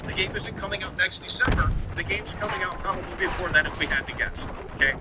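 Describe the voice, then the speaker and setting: A man's voice: sits high, fast. A man giving opinionated live gaming commentary in an emphatic, repetitive ranting way, like a streamer. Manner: emphatic, repetitive, swearing rant. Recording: loud gunfire and game noise behind. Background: shooter game gunfire, vehicles, announcer voices.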